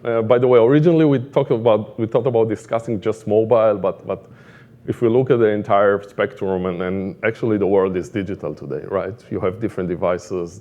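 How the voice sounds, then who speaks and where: Low, bright-less Israeli-accented man presenting. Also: dull audio. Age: middle-aged. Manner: halting, repetitive phrasing with frequent fillers. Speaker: conference speaker, product management director. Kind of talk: informal conference presentation.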